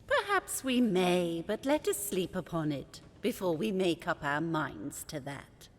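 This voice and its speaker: A woman's voice: on the low side, sing-song, a little slow, a little hiss or hum. A woman playing a haughty, ambitious fisherman's wife in a fairy tale audio drama, imperious and insistent. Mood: scheming. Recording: long hall reverb.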